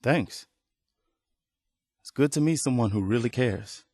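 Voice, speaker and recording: A man's voice: mid-range voice, unhurried, darkish tone, dry recording, close to an everyday voice. A man playing an earnest, weary militia leader in a video game, speaking in a sincere, plain-spoken, conversational way. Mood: matter-of-fact, friendly. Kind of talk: video game dialogue acting.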